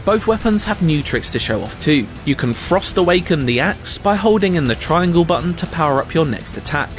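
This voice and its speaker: A man reading a scripted video game review as a voice-over, in a measured, articulate and wordy way. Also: on the high side, lively intonation, soft background music.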